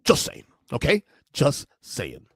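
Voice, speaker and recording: A man's voice: medium pitch, wildly swooping pitch, slow, a bit bright, breathy edge, no echo, clean studio sound. Rambling, emphatic man in his forties. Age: 40s. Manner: rambling, emphatic, repeats himself, curses. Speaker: podcast host. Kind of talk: casual commentary on video clips.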